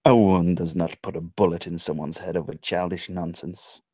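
A man acts out a serious Cuban crime boss in his sixties at a table read. His voice is low and dry, and he speaks with deliberate, cold menace. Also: steady tone, a little mellow, dry recording. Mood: cold, menacing.

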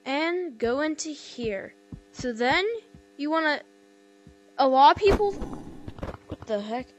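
A woman casually walking through a VR game tutorial on a headset mic, rambling and correcting herself, with sudden excited outbursts. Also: high-pitched, slow pace, low-quality recording. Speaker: gameplay tutorial maker. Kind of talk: casual VR gameplay walkthrough.

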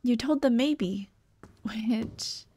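A young woman voicing a dead girlfriend's caring, gently nagging ghost in an ASMR roleplay drama, tender and coaxing. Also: fairly high pitch, extremely slow, breathy edge, exaggerated voice. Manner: tender, coaxing, soft-hearted scolding. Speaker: roleplay voice actress.

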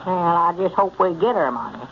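A man playing a slow, folksy rural storekeeper with a drawling country way of talking, in a 1930s radio comedy. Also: high-pitched, animated, vocal fry, a little hiss or hum. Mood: puzzled. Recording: muffled old radio transcription.

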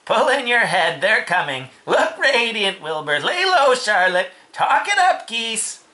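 A storybook narrator voicing a bossy old sheep, giving brisk commands. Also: mid-range voice, animated, pushed and bright, pitched above the performer's own voice. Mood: urgent, bossy.

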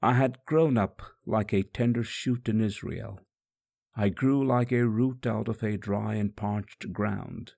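A condemned holy man played by a male reader tells his own story in solemn, tender sorrow. His low voice is gravelly and moves very slowly, deliberate and reflective, as in a dramatic audiobook. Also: fairly even intonation, darkish tone, heavily acted. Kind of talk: solemn first-person dramatic monologue.

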